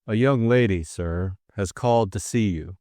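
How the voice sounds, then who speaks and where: A man voicing a stiff, deferential valet in an audiobook, speaking in a measured, unflappable, scrupulously polite way. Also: fairly low pitch, dark tone, no room sound, clean studio sound, lightly acted. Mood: implacable, formal. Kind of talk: audiobook character acting.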